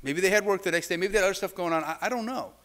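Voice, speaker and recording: Middle-aged pastor guy, higher voice, chatty sermon w lots of restarts, church mic from a distance. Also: rapid-fire, fairly bright tone. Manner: conversational, with frequent restarts and asides. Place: church sanctuary, standing at a lectern. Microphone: far camera.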